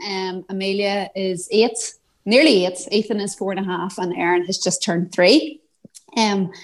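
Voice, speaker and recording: A woman in her thirties, a nurse guest, introducing herself in a chatty, self-correcting way. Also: mid-range voice. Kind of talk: casual chat.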